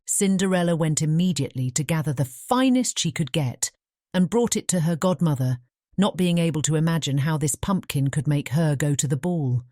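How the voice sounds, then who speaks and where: A British woman reads a scripted fairy tale as narrator. Her voice is very low and soft-toned, measured, and recorded dry and close. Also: animated, no echo. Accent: British English. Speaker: audiobook narrator.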